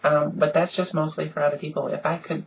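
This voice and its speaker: Woman, deep softened voice, gravelly, flat delivery, earnest, lots of ums, dull lo-fi webcam sound. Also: fast talker, slight background noise. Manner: frequent "um"s, earnest and conversational. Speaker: vlogger. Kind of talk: casual response vlog. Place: at home, in pajamas.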